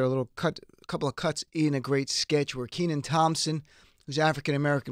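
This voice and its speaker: A male radio host talks through his political show in a chatty, self-deprecating way. His voice is hoarse from a cold but still sits fairly high. He is close on a dry studio mic. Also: a bit bright, dry recording. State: hoarse, getting over a cold. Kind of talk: political talk radio monologue. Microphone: studio microphone.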